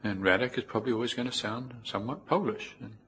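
A middle-aged man with a New Zealand accent giving an informal, reflective Buddhist talk in a measured way, with pauses. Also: mid-range voice, fairly bright tone, a little hiss or hum. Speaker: Buddhist monk, teacher. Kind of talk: informal spoken dharma talk with personal anecdotes.

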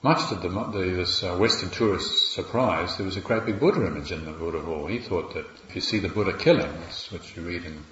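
A man with a New Zealand accent, a Buddhist monk giving a gentle, reflective dharma talk with wry asides. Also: deep voice, fairly quick, few pauses, slight background noise. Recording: roomy, slightly dull recording with faint ambience.

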